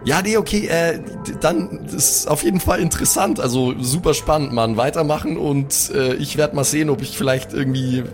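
A man's voice: a little high, moderate pace, few pauses, natural voice. A man plays a laid-back young superhero in an improvised tabletop audio drama. He rambles eagerly in casual slang and sounds happily clueless, with faint music underneath. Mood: breezy, clueless. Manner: casual slang, rambling, says Alter and Digga.

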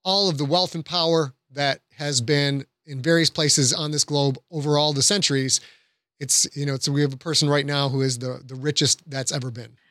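Bright-voiced man, close and dry. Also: fairly high pitch, fairly even intonation, moderate pace, no echo. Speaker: guest author. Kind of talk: casual discussion, explaining.

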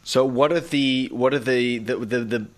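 A young man with a mid-range voice hosts a podcast. He asks questions warmly, often stumbling into repeated words before landing the point. He grew up in Nova Scotia. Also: medium pitch, moderate pace. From Nova Scotia, Canada. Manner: friendly, stammering restarts. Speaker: podcast host. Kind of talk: podcast interview.

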